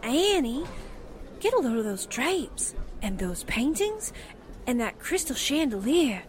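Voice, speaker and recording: A woman's voice: high voice, sing-song, measured pace. A woman playing a breezy, slangy, wistful young newspaper sidekick in a 1940s-style radio drama. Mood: wowed, eager.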